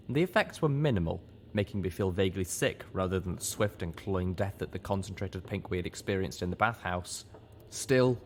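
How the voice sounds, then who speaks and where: A man narrating a fan-written novel aloud as an audiobook, in a steady, earnest reading. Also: low voice, a little hiss or hum. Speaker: audiobook reader.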